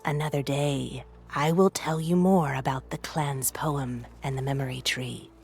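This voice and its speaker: An actress playing a solemn, learned head of a clan of chroniclers in a video game, speaking in a measured, formal storytelling way. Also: female voice, rumbling low, steady tone, gravelly, a little mellow, slightly rough, faint music behind, heavily acted. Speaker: clan historian and leader. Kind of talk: video game cutscene acting.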